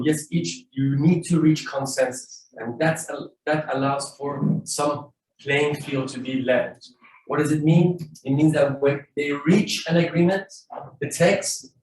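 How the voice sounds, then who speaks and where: Measured man explaining, distant echoey mic. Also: fairly high pitch. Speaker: panelist. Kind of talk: explanatory panel talk. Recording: echoey room from a distance, faint noise.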